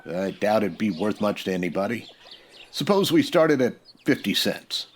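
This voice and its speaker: An American actor playing a steady, dryly defiant Old West marshal in a radio western, with a folksy, laconic delivery. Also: male voice, on the low side, normal speed, a little hiss or hum.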